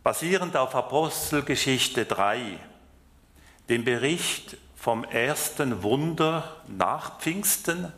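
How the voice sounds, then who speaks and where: Male lay preacher, Swiss German accent, French first language, slow and hesitant delivery. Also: medium pitch, fairly bright tone. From France. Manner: slow, hesitant, with fillers and restarts. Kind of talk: sermon.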